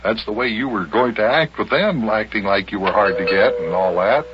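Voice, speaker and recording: A man's voice: fairly low pitch, normal speed, a little hiss or hum, natural voice. An actor playing a hearty, patient, folksy grown man who also narrates an old-time children's radio serial. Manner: animated, folksy, storytelling. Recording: muffled old radio broadcast recording.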